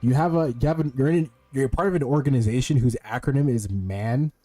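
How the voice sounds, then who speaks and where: A man's voice: medium pitch, fairly quick, warm tone. A young man giving casual gaming commentary, joking and slangy, sometimes reading game text aloud. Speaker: game streamer.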